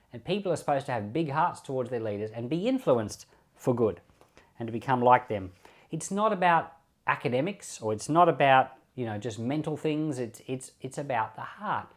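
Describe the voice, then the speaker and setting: A man's voice: medium pitch, lively intonation, normal speed. A man with an Australian accent and a mid-range voice talks as a pastor on a Bible podcast. He explains scripture conversationally, circling back over short phrases as he makes his point. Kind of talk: informal Bible commentary and preaching.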